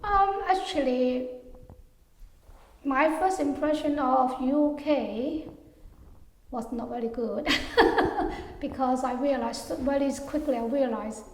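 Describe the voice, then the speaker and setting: Soft-spoken woman from Hong Kong in an echoey room. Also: high-pitched, slow. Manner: hesitant, many fillers, soft trailing endings. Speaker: interviewee. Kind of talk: oral history interview. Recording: echoey room with long reverb.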